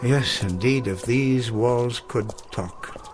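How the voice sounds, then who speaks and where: Male actor as elderly schoolmaster for radio drama: middle-pitched, eloquent, gentle, melancholy, doubts himself, faint music. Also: slow, lightly acted. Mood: wistful.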